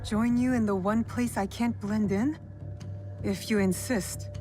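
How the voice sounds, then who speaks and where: A woman's voice: mid-range voice, fairly even intonation, a little slow, music in the background, close to an everyday voice. Game role: direct, guarded young female shinobi acted by a woman, dryly wry, medium register. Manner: cool, direct, restrained. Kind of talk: video game cutscene acting.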